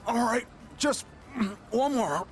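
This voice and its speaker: An actor playing a casual, chatty, sincere teenage student athlete in a video game. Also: male voice, very high voice, animated, slow, faint music behind, heavily acted. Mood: friendly, upbeat.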